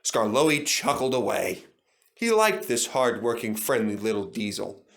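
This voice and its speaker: A man reading and adapting a children's engine story, with animated delivery. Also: lowish voice, unhurried, gravelly. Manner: animated, expressive.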